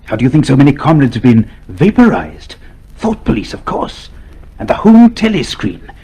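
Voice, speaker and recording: A man playing a clerk's sly inner alter ego in a radio drama, breathy, insinuating and goading. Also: mid-range voice, huge pitch swings, normal speed, dark tone, exaggerated voice. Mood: sly, probing.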